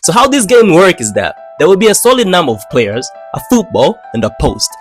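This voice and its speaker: A man's voice: high-pitched, huge pitch swings, brisk. A young man from Tanzania, narrating casually in a slangy, joking, conversational way. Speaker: YouTube storyteller. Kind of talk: casual scripted narration for animated video. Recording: background music under the voice. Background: music.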